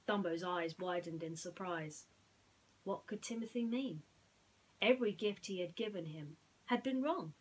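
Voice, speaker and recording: Husky-voiced woman storyteller. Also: on the low side, unhurried, poor audio. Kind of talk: bedtime story narration and gentle reflection.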